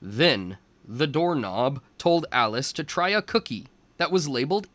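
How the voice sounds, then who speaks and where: Slow, expressive man reading a storybook. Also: medium pitch, no echo. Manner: slow, deliberate phrasing for young listeners. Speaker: teacher reading aloud to young children. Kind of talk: storybook read-aloud.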